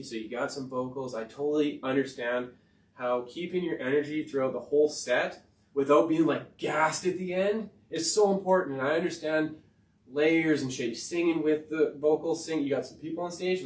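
A man with a high-pitched voice, talking in a rambling, profane and emphatic way on a casual podcast. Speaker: podcast host and rapper. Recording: roomy sound from far off the mic.

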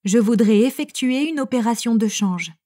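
A woman narrator reading French phrases for learners, clearly and evenly, each one repeated. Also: a little high, expressive, moderate pace, dark tone. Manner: reads each phrase three times, evenly.

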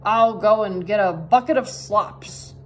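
A calm storybook farmer, a man as a woman narrator reads him, coaxing and ordering in short, plain phrases. Clear background noise sits under the voice. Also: mid-range voice, unhurried, close to an everyday voice. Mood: commanding. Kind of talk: children's storybook character voice.

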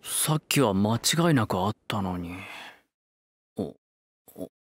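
A man's voice: lowish voice, expressive, takes it slowly, no echo, clean studio sound. An actor playing a bored, laid-back young man who mutters and grumbles in blunt, casual speech in an anime drama. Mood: puzzled, muttering.

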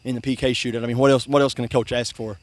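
Fast-talking coach, wet and earnest. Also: male voice, mid-range voice, level tone, dry recording. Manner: earnest, quick, many restarts and "I mean". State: soaking wet after a river jump. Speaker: high school soccer coach. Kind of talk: postgame interview. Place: outdoors by a river at night.

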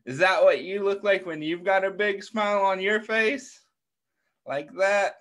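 A man's voice: high voice, unhurried, fairly bright tone. A middle-aged American man reading a picture book aloud to young children, encouraging them with questions and praise over a video call. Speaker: children's story time host.

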